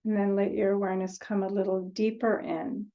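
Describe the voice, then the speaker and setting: A woman leading a guided meditation in a gentle, unhurried way, leaving long pauses between short phrases. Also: medium pitch, flat delivery, lo-fi sound.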